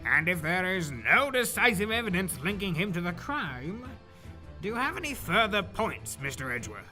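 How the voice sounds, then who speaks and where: A man playing a befuddled but dignified old judge in an audio drama, speaking deliberately and with mild bemusement. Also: sits high, big pitch swings, bright, forceful tone, soft background music, heavily acted. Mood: formal.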